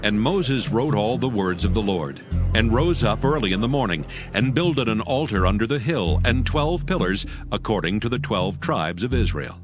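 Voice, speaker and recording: A male narrator with a fairly low voice reads scripture in a solemn, measured style. Music plays clearly behind his scripted narration. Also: lively intonation. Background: background music.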